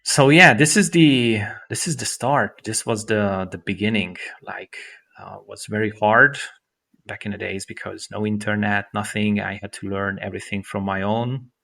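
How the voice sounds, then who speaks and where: Romanian man, bright accented speech. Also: fairly low pitch, fairly even intonation, creaky. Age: 30s. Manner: halting, many restarts and fillers, non-native grammar. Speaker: guest musician. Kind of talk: casual interview chat.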